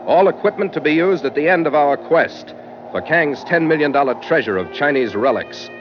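An actor playing a tough, wry sea captain in a 1940s radio drama, with a clipped, hard-boiled delivery. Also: male voice, fairly high pitch, lively intonation, normal speed, over music, natural voice. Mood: wry storytelling. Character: tough, wry seafaring captain narrating his log. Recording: muffled old radio recording.

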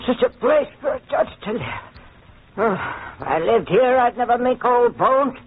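A woman playing a coarse, excitable, self-mocking stout French woman in old radio drama style, earthy and exclamatory. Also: fairly high pitch, normal speed, breathy edge. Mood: brash, blunt. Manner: earthy, exclamatory, bursting into laughter. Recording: muffled, tinny old radio recording.

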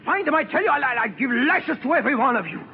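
An actor playing a ruthless, imperious Nazi occupation officer in a 1940s radio drama, threatening and theatrical. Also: male voice, very high voice, expressive, fast, gravelly, exaggerated voice. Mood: frantic rage. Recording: tinny, noisy, echoing 1940s radio recording.

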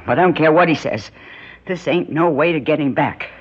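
A woman's voice: sits low, moderate pace, husky. An actress playing an anxious, plainspoken rural American mother in a radio drama, urgent and folksy. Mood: hushed resolve. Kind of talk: 1960s radio drama acting. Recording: dull old radio drama recording.

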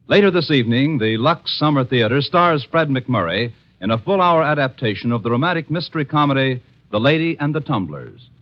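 A dull, vintage radio recording of a male announcer. He reads formally and smoothly in a mid-pitched voice. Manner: formal, polished. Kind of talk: 1950s radio scripted announcing. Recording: muffled 1950s radio recording.

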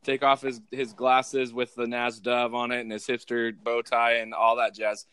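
A man's voice: mid-range voice, flat delivery, moderate pace, a bit bright, smooth, dry recording. A man hosting a podcast in casual chat, talking with many fillers and restarts. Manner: rambling, hesitant with many fillers. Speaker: podcast host and pastor.

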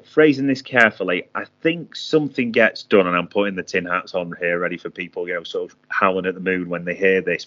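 A low-voiced man co-hosts a football chat show. He speaks in a careful, guarded way and hedges his predictions. The audio is dull, like a remote call. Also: a bit bright. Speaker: podcast co-host, transfer pundit. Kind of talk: casual football podcast chat.

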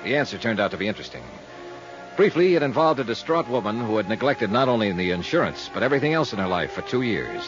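A male actor with an American accent playing a cool, steady insurance investigator in a 1950s radio detective drama. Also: fairly low pitch, quick pace, over music. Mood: brisk, businesslike. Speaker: lead actor and narrator of a radio detective serial. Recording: muffled 1950s radio recording.

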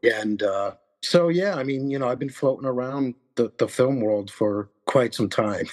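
A man's voice: mid-range voice, measured pace, darkish tone. A man in his forties from Connecticut with a New York accent, a podcast guest who rambles casually about his film work. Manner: rambling, many fillers and restarts.

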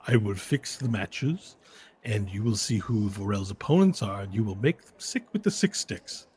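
A man voicing a sly shapeshifter posing as a young gentleman in a tabletop game, speaking conspiratorially. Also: lowish voice, lively intonation, moderate pace, husky.

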